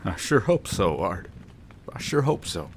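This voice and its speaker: Guy doing a folksy frontiersman, slow easy drawl, good-humored. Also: deep voice, big pitch swings, a little hiss or hum. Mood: sad. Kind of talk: audio drama acting.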